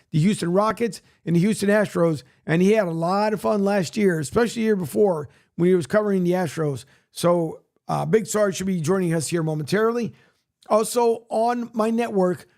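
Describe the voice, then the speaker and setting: Raspy high-voiced sports radio host. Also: male voice, darkish tone. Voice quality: raspy, losing his voice. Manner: rambling, many fillers, plugs and reads news aloud. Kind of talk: casual solo sports talk, filling time.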